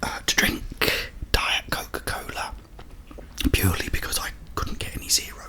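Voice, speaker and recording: A British man hosting an ASMR ramble in a breathy, unvoiced whisper, chatting casually with slow pauses. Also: sharp tone. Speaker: ASMR video host.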